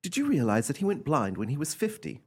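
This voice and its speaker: A man playing an eccentric gentleman who recounts an uncanny family tale in a theatrical, emphatic radio drama style. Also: fairly high pitch, wildly swooping pitch, quick pace, husky, heavily acted. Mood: reflective, admiring.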